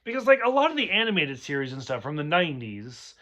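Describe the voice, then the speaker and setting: Male host, casual review banter, rambling and loose, bright slightly high voice, a little tipsy. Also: very animated, quick pace. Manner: rambling, chatty, full of "like" and "um". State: a bit tipsy. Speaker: show host.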